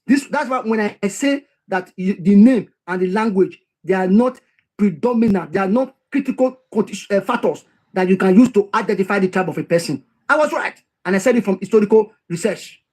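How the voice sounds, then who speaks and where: A man's voice: sits high, fairly quick, darkish tone. A man reading passages from a history text and explaining them, repeating key phrases for emphasis. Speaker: history commentator.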